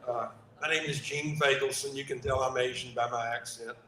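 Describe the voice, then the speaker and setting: An older man from Texas, a longtime real estate broker, introducing himself to a room with loud, playful jokes. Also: mid-range voice, steady tone, fairly quick. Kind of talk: joking self-introduction. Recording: echoey room, faint noise.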